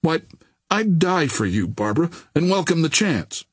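A man voicing a lovesick, bantering young gentleman in an audiobook, earnest and teasing. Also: medium pitch, animated, normal speed, slightly rough, no room sound, studio quality. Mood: ardent.

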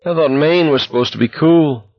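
A man's voice: a little high, moderate pace, pitched above the performer's own voice. A man voicing a tired, grumbling young male walker with sour sarcasm in an audiobook story. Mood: weary complaint. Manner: weary, sarcastic complaining. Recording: dull, echoey narration recording.

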